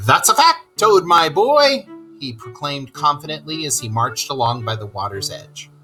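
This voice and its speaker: Female storybook narrator for children: slow, bright, expressive, music underneath. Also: rumbling low. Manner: expressive, explains words to children. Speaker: storybook reader. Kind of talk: read-aloud narration for children.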